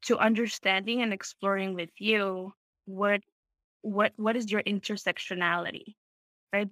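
A woman's voice: medium pitch, fairly bright tone, no echo. A woman from Peru tells her personal story in an open, reflective, conversational way, often checking in with small tag questions. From Peru, small town. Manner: reflective, open, often seeks agreement with tags.

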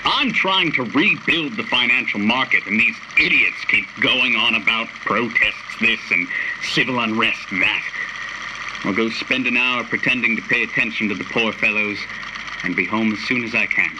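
A man's voice: fairly low pitch, moderate pace, noisy background, lightly acted. A man acting a smug, condescending businessman husband in a radio drama. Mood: dismissive. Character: smug businessman husband, dismissive, speaking apologetically to his wife.

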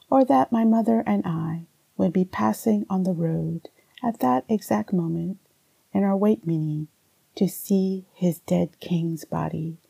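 A woman reads poetry and introduces it in a low, husky voice. There is a gravelly fry in it and she speaks in a measured, deliberate way. She comes from Barbados. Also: dark tone, dry recording, poor audio. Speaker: poet and writing teacher. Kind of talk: poetry reading with spoken introduction.

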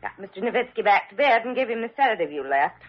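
Kindly nurse, animated, vintage radio. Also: female voice, on the high side, fast, slightly rough. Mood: gentle, efficient. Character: kindly, attentive female hospital nurse. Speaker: actor in a radio drama. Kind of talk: classic American radio drama acting. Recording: dull, noisy old radio recording.

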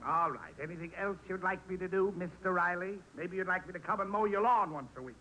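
An American actor playing a smug, sarcastic landlord who is quick to turn mean in a 1940s radio comedy. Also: male voice, high voice, sharp tone. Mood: grudging, sarcastic. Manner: sarcastic, gloating. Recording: noisy old radio broadcast recording.

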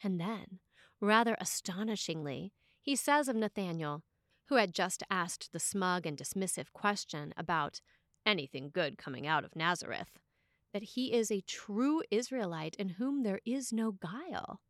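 A woman priest reads a scripted sermon in a deliberate way, with emphatic pauses. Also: mid-range voice, animated, a bit bright, no room sound, clean studio sound.

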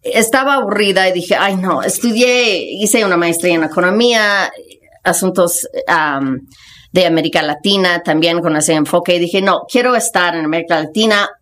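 A lower-pitched woman in her fifties with an American accent tells her life story as a podcast guest. Her tone is casual and frank, full of slang. English phrases slip in because it is her first language. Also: moderate pace, fairly bright tone. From United States.

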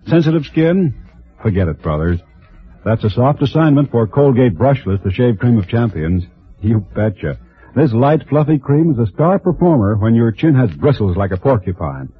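A man's voice: medium pitch, breathy edge. An American man announcing commercials in 1940s radio style, with a folksy, punchy sales delivery. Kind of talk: 1940s radio advertising pitch. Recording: tinny vintage radio, music behind.

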